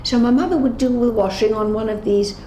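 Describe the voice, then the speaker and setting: A woman's voice: fairly high pitch, brisk, mellow. A woman in her eighties giving personal testimony in an interview, telling her memories conversationally and correcting herself as she goes. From England. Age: 80s. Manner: conversational storytelling with self-corrections and acted-out dialogue. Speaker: Holocaust survivor giving testimony. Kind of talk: unscripted personal reminiscence in an interview. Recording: roomy echo, clear background noise.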